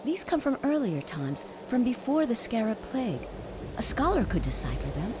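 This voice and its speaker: An actress playing a seasoned, composed female commander in a fantasy game, speaking in a formal, measured, authoritative way. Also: a little high, big pitch swings, background noise. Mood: calm. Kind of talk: scripted fantasy game acting.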